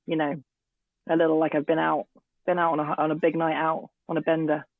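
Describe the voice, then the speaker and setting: Hoarse English woman on a tinny line. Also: low voice, little pitch movement, brisk, crowd noise. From England. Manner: hesitant, many ums and restarts. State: hoarse from illness. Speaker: guest actor. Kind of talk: casual interview chat. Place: garden shed at home. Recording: tinny phone-like remote line.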